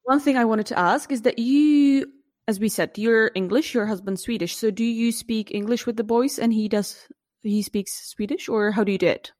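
A woman's voice: on the high side, normal speed, darkish tone, dry recording, studio quality. A Czech woman in her thirties hosting an interview, curious and friendly, with many fillers. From Czech Republic. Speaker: podcast host. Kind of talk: casual interview chat.

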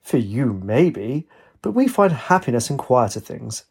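A man's voice: medium pitch, big pitch swings, measured pace, creaky, darkish tone, slightly rough, full character voice. A man voicing a joyful, docile elderly golfer with a genteel, mild manner in a story. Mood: genteel, content.

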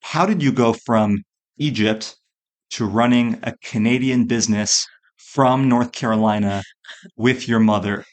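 A man's voice: mid-range voice, a little slow. A man hosting an interview podcast, introducing his guest and asking questions. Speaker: podcast host.

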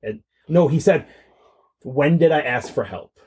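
A man's voice: a little high, measured pace, darkish tone, slightly rough. A man co-hosting a podcast in casual film discussion, conversational and thinking aloud as he goes. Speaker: podcast co-host.

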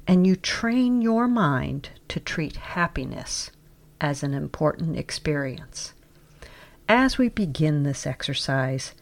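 A woman leading a scripted guided meditation, giving measured, deliberate instructions. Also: low-pitched.

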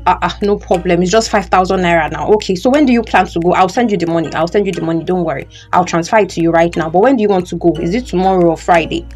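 A woman's voice: lowish voice, fast talker, hardly any pauses, a little mellow, faint music behind, natural voice. A woman playing a kind, reassuring older female friend in an audio drama, with a Nigerian accent. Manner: reassuring, repetitive.

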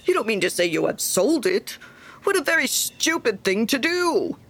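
A woman's voice: fairly high pitch, sing-song, moderate pace, a bit bright, pitched above the performer's own voice, full character voice. A woman voicing a pompous, self-righteous male miller who talks in a smug, preachy way. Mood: self-satisfied.

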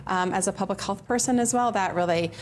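A woman's voice: mid-range voice, fairly quick, slight background noise. A woman from Colorado answering interview questions, hesitant with many fillers. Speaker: early childhood council representative.

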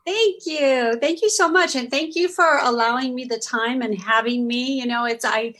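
A woman's voice: sits high, normal speed, a bit bright. A middle-aged woman from Southern California, a guest telling her family story in a chatty interview, laughing easily and restarting her sentences.

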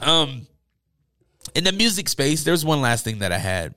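A man's voice: mid-range voice, very animated, a little slow, bright, forceful tone, dry recording, clean studio sound. A man hosting a casual music podcast, talking in an informal, slangy, enthusiastic way, with his voice worn from a long day of talking. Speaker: podcast host. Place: indoors, upstairs room.

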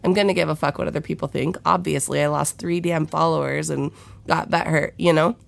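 A woman's voice: steady tone, brisk, no room sound. A young woman hosting her podcast, talking frankly and swearing freely, with a nasal, stuffed-up voice from a head cold and sniffles. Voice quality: low, gravelly, nasal. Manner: frank and profane, with many fillers. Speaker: podcast host. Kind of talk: candid solo podcast chat.

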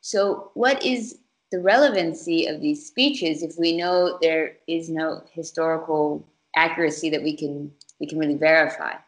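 A woman interviewer asking long, halting questions with repeated words. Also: low voice.

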